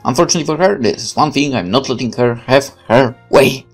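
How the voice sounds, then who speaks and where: A man's voice: mid-range voice, fast talker. A man hosting a let's play, switching between casual commentary and reading the game's narration aloud, with game music behind him. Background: video game music.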